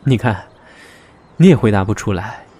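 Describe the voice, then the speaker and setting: A man's voice: on the low side, lively intonation, normal speed, dark tone. A man acting in an audio drama as a weary, conflicted young man, restrained and introspective. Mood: quiet, reflective. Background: background music.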